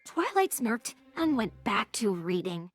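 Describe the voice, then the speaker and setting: A woman's voice: fairly high pitch, big pitch swings, a little slow, faint music behind. A synthetic-sounding woman's voice reading scripted story narration.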